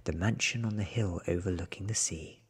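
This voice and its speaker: A man in his thirties giving a soft-spoken, hushed ASMR audiobook reading, breathy and often close to a whisper, slow and deliberate, close to the microphone. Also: low voice. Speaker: reader.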